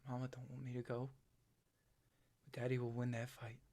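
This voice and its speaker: Halting, guilt-ridden country young man played by an actor. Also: medium pitch, completely flat delivery, mellow, close to an everyday voice. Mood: subdued. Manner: halting, stammering, repeating words. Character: troubled, guilt-ridden, literal-minded rural young man. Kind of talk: stage play reading.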